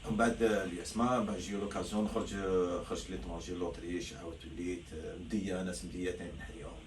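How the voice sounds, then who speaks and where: A man's voice: deep voice, fairly even intonation, very fast. A middle-aged Algerian man with an Algerian accent, a football coach talking casually and rambling with many restarts. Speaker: football coach, interview guest. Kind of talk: casual interview, mixing Arabic and French. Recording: echoey, distant, noisy ambience.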